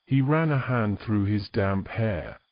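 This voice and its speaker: A man's voice: lowish voice, expressive, slow pace, dry recording, muffled recording. A man reading scripted narration for a romantic fantasy story as its narrator.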